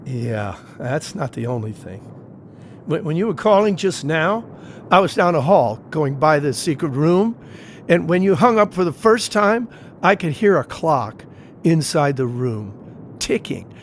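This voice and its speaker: A man's voice: on the high side, big pitch swings, a little slow. An actor playing an uneasy, determined man investigating a house in an audio play, talking urgently and colloquially over faint noise.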